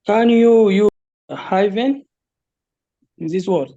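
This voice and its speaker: A man's voice: sits high, slow pace, mellow. A male student asking a question during a lesson, speaking through a dull, muffled microphone.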